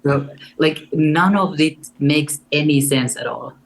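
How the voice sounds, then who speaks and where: A young woman with a Finnish accent and a deep voice, speaking as a guest in an earnest, reflective way. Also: slow, gravelly, poor audio. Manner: earnest and reflective, with light laughs. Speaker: guest artist. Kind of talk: casual interview conversation.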